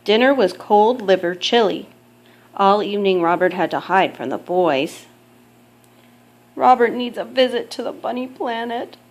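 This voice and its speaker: A woman reading a children's picture book aloud as a mother would, in measured, expressive storytelling. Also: medium pitch.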